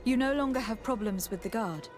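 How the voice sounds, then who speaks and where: An actress playing a noble young diplomat adventurer in a fantasy game, formal, composed and earnest. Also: female voice, fairly high pitch, brisk, lightly acted. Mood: polite. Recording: reverberant hall, music underneath.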